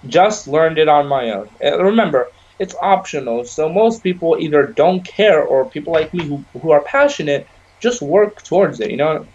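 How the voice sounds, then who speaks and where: A man's voice: on the high side, animated, moderate pace, darkish tone, dull audio, slight background noise. A young man whose first language is Arabic, co-hosting a casual podcast, rambling along and filling gaps with "you know". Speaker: podcast co-host. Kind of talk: casual chat.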